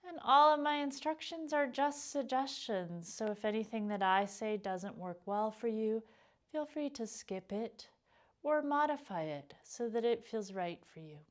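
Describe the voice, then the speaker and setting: A woman leading a guided meditation as a teacher, speaking in a calm, gentle, unhurried way with pauses. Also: fairly high pitch. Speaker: yoga nidra meditation teacher.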